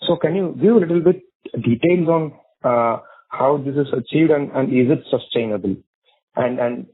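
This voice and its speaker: Man, mid-pitched, politely halting analyst questioning over a narrowband phone connection. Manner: polite, halting with repeated words. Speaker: financial analyst asking questions. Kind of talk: earnings call questions. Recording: tinny narrowband phone line.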